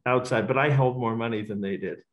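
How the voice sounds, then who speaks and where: A man's voice: mid-range voice, a little fast, muffled recording. A middle-aged man, talkative and self-assured, giving casual interview answers as a racecourse bookmaker. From Australia.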